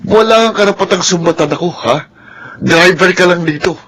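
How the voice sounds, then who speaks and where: An actor playing a scheming, hot-tempered rich son in a radio drama, bossy and quick to get defensive. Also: male voice, sits high, a bit bright. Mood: resentful, menacing. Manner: bossy, defensive, stammers when cornered.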